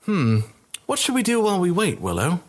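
A man's voice: high voice, huge pitch swings, a little fast, voice pushed up, exaggerated voice. A man voicing a haughty young princess with an imperious manner. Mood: musing.

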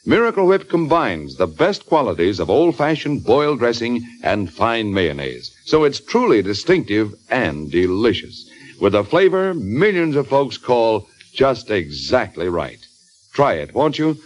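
Male announcer for vintage 1940s radio spot, mid-pitched, lively intonation, polished and emphatic selling. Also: a little slow, lo-fi sound. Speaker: commercial announcer. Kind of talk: 1940s radio advertising read.

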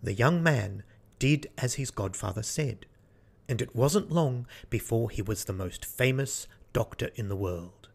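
A man's voice: mid-range voice, animated, unhurried, vocal fry. A man reading a fairy tale as a storyteller, in scripted narration.

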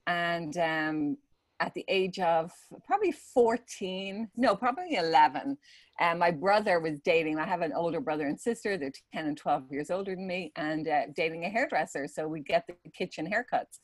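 A middle-aged woman with an Irish accent, a podcast guest telling stories in a chatty, animated way. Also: on the low side, moderate pace, no room sound. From Ireland. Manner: chatty, animated storyteller with many fillers. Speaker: podcast guest, salon manager. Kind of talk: casual interview storytelling.

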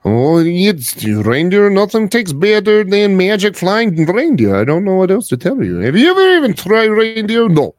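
Improv comedy bit: man as a pushy, threatening Russian shop clerk, bouncy sing-song pitch, dry close mic. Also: wildly swooping pitch, normal speed, few pauses, no echo. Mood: boastful, chummy. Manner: rambling, bossy, swings between menacing and chummy. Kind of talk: improvised comedy phone sketch.

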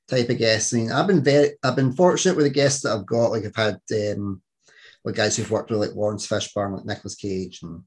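A man's voice: fairly low pitch, a little fast, darkish tone, slightly rough. A man hosting a podcast, asking questions eagerly and filling his sentences with "like" as he trails off. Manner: eager, lots of "like" fillers, trailing off. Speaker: podcast host. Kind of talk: casual interview chat.

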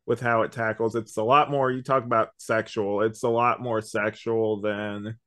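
A man's voice: on the low side, flat delivery, normal speed, a bit bright, dry recording, clean studio sound. A young man co-hosting a podcast, giving a casual, rambling conversational review. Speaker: podcast co-host.

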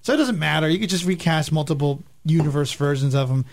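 Guy podcast panelist, voice a bit high, chatting and giving his takes. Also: expressive, fast. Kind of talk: casual opinionated panel chat.

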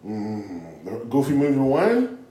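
A man's voice: mid-range voice, huge pitch swings, slow pace, mellow. A man from Illinois hosting a podcast, firing this-or-that questions at his guest in a teasing way and pressing him with repeated questions. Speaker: podcast host. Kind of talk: casual podcast chat, rapid-fire this-or-that questions. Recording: echoey room with faint noise.

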